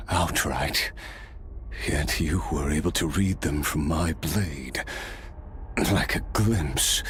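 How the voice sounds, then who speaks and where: An actor playing a weary, remorseful immortal male warrior in a video game, slow and reflective, sometimes dropping to a hush. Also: very deep voice, slight background noise, heavily acted.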